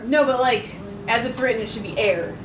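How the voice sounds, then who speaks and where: A woman's voice: a little high, brisk. A woman hosting a live convention panel, chatting casually with the people around her. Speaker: panel host. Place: indoor convention panel room. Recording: roomy convention hall, loud crowd behind.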